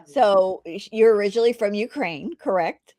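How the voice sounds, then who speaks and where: A woman's voice: medium pitch, lively intonation, moderate pace, warm tone, no room sound. Enthusiastic woman interview host, dry sound. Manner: enthusiastic, chatty. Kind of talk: casual interview hosting.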